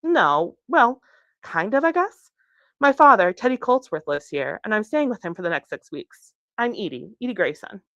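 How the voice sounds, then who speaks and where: Woman voicing an awkward, flustered teenage girl, lifted pitch. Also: very animated, moderate pace, a bit bright, dry recording, muffled recording. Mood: flustered, friendly. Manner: flustered, rambling, self-deprecating. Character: awkward, eager teenage girl, easily embarrassed. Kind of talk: acted dialogue in a novel reading.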